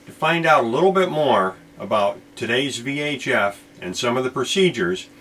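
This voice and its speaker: A man's voice: medium pitch, lively intonation, moderate pace, fairly bright tone. A man presenting an instructional boating video in an informal, explanatory manner. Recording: clear background noise, ambient sound.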